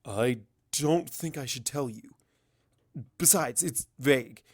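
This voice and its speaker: A man's voice: medium pitch, slow pace, breathy edge, dry recording, clean studio sound. A man voicing an arrogant, irritable male pony wizard with a blunt, bossy manner in a story reading. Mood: guarded.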